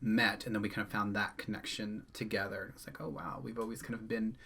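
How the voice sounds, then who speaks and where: Casting: man, thirties, bright mid-range voice, dry quick-witted co-host. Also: fairly low pitch, fairly even intonation, vocal fry, breathy edge. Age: 30s. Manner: brief, dry asides with quick back-channel replies. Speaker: co-host, book channel. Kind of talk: casual chat.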